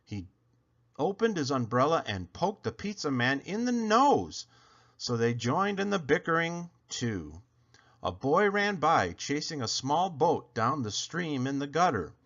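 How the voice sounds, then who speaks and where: A man reading a children's picture book aloud, expressively and with dramatic pauses. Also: fairly high pitch, big pitch swings, fairly bright tone, dull audio. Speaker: read-aloud storyteller.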